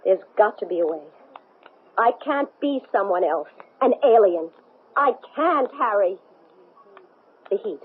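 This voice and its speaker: Husky, desperate female astronaut. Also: mid-range voice, expressive, measured pace, pauses often. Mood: distressed. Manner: urgent, emphatic, pleading. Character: desperate, insistent woman astronaut possessed by an alien. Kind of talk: 1970s radio drama acting. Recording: muffled, narrow-band old radio.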